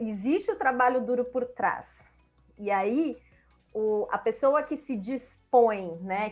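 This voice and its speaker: Enthusiastic Brazilian woman, slightly high. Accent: Brazilian Portuguese. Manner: reflective, enthusiastic, repeats herself. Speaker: language school speaker. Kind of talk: panel talk.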